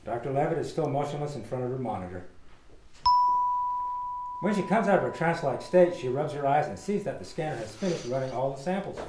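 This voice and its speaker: A man's voice: mid-range voice, animated, a little fast, lightly acted. A man playing a matter-of-fact narrator who sets the scenes in a scripted radio drama. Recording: roomy echo, faint noise.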